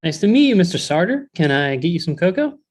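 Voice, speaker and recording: A woman acts out an officious male elf in a comedy read. The voice sits a bit low, with an earnest, worried and slightly hesitant delivery. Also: big pitch swings, quick pace, a little mellow. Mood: polite. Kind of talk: table read comedy acting.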